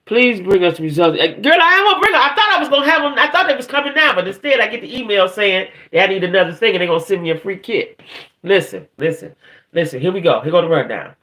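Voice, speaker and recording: A woman from the northern United States hosting a casual live stream, chatting playfully and colloquially in a rambling way, often tagging her thoughts with a quick check-in. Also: medium pitch, big pitch swings, bright, forceful tone. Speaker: live stream gossip show host.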